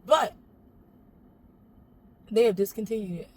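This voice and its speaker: A woman with a deep voice chatting through a makeup tutorial inside a parked SUV, playful and sassy, calling her viewers baby. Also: expressive, measured pace, breathy edge. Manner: sassy, playful, talks straight to the viewer and calls them baby. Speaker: makeup vlogger.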